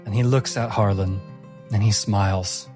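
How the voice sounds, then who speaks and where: A man narrating a tabletop game as game master in a measured, deliberate, dramatic storytelling manner. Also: lowish voice, steady tone, a little mellow, faint music behind.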